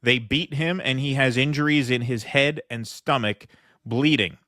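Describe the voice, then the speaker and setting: A man's voice: mid-range voice, normal speed, sharp tone, dry recording, studio quality. A man hosting a political commentary show, reading news reports aloud and commenting with frequent fillers.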